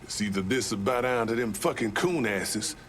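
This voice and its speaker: A man's voice: lowish voice, moderate pace, a bit bright, slight background noise, lightly acted. An actor voicing a streetwise, embittered Southern man in a video game, with folksy, salty talk. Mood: bitter, scheming. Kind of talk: video game cutscene acting.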